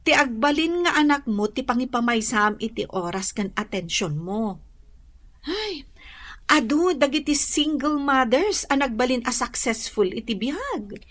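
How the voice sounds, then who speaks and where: A woman's voice: sits high, a little slow, full character voice. An actress playing a supportive, chatty young woman friend in a radio drama, with an Ilocano accent. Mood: encouraging. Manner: animated, chatty, encouraging.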